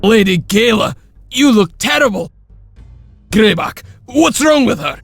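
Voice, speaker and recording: Wild loyal warrior, very gravelly, high for a man, boisterous, raspy, game cartoonish drama. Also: expressive, a little slow, dry recording, soft background music, heavily acted. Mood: uneasy. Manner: boisterous, theatrical. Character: excitable, loyal, rough wild warrior of a wolf clan. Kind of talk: dramatic video game acting.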